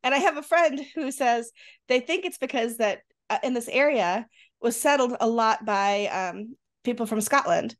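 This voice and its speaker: Middle-aged Rochester-accented woman co-host, high bright voice, animated storyteller. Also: normal speed. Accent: Rochester, New York. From Western New York. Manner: animated storyteller, laughs often. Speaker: podcast co-host. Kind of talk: casual chat.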